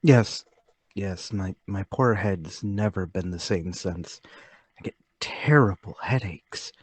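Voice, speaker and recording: An older gentleman played by a man in a radio mystery, low and husky. He is polite, haunted and forgetful, and he picks out his words slowly in a dry, close sound. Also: exaggerated voice. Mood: troubled, confused. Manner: halting, polite, deliberate. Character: troubled, forgetful, soft-spoken older gentleman, haunted and deliberate. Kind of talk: radio mystery drama table read.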